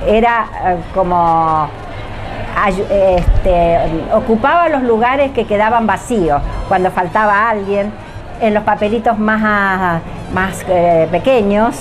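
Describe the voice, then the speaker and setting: An older Argentine woman speaks very slowly at a medium pitch, reminiscing in an interview. She is hesitant and pauses to find her words, with music clearly playing behind her. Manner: hesitant, with long searching pauses. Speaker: former radio drama actress being interviewed.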